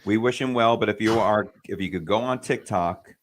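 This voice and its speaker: A man hosting a casual podcast chat, speaking in an earnest and measured way with frequent pauses. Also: on the low side.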